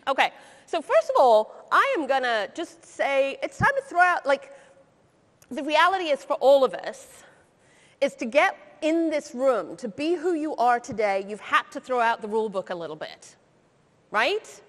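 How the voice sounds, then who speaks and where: A woman leading a live coaching session on stage, lively, teasing and blunt. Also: high voice, moderate pace. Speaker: career coach, workshop leader. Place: conference stage with audience. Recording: long hall reverb.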